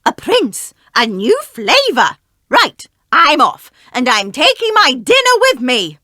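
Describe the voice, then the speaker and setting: Wicked storybook witch: high, slow and drawn-out, gleefully sly, bossy, cackling. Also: wildly swooping pitch, sharp tone, dry recording, clean studio sound, full character voice. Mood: gloating. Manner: cackling, shrieking, imperious.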